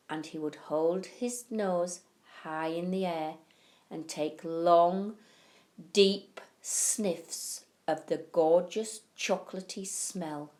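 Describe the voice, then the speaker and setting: A woman with a slightly low voice narrates a story for young children. She takes it very slowly and deliberately, stretching key words for emphasis like a teacher reading to pupils. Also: darkish tone.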